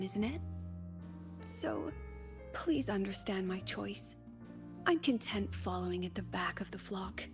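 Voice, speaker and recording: A woman playing a composed, wry, self-effacing professor in a video game, with dry poise. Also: medium pitch. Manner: dry, poised, softly sardonic. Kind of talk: game voice acting.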